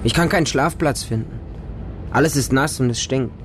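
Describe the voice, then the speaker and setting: A man's voice: medium pitch, normal speed, soft background music, lightly acted. A man playing a lonely, naive small homeless boy who narrates his life in a radio drama in short, plain sentences. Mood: lonely, wary.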